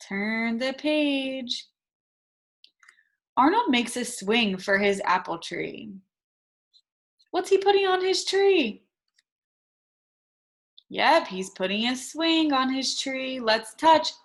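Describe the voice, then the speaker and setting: A woman's voice: on the high side, a bit bright. A woman teacher doing an interactive read-aloud for young children, in short simple sentences, prompting with questions and stressing key words. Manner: instructional, emphasising key words slowly for children.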